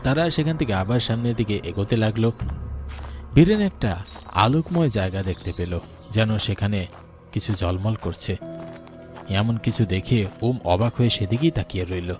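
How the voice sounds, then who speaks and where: Low-voiced man narrating a suspense story. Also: lively intonation, normal speed, long pauses, music in the background. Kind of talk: dramatic scripted story narration.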